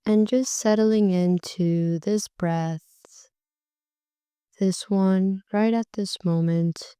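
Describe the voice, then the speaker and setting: A woman guides a meditation in an unhurried, gentle way, letting her phrases stretch out. Her voice is mellow and warm, sitting a little low, and comes through very smooth and clear. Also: steady tone, no room sound, clean studio sound. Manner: slow, soft and soothing, drawn-out phrases.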